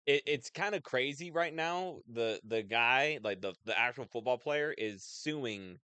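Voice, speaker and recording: A man with a bright, very clear voice chats casually as a podcast co-host. He is opinionated and slangy, and the recording is dry and close. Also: mid-range voice, animated, unhurried, no room sound. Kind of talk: casual chat ranking movies.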